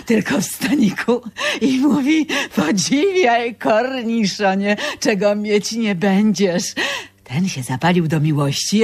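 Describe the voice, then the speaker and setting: Earthy gossipy older seamstress. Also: female voice, mid-range voice. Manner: colloquial, relishing, animated anecdote telling. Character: earthy older Polish woman, bra-workshop seamstress, gossipy storyteller. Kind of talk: radio drama acting.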